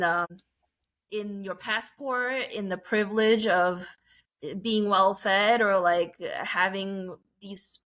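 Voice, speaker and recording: A woman who teaches English, co-hosting a casual podcast chat and speaking slowly and thoughtfully with many pauses. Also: mid-range voice, sharp tone. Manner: slow, thoughtful, with frequent pauses and fillers.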